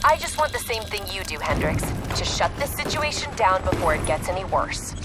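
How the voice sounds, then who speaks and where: An actress playing a composed, businesslike intelligence operative in a video game, speaking in a clipped manner. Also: female voice, medium pitch, very animated, a little fast, natural voice. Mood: firm, guarded. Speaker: mission handler. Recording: game music behind the voice.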